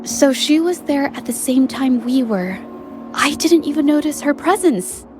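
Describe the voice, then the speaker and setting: A high-pitched, shy young woman from a video game. She is earnest and polite, with a halting, apologetic delivery. Prominent music plays behind her. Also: animated. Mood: thoughtful, puzzled.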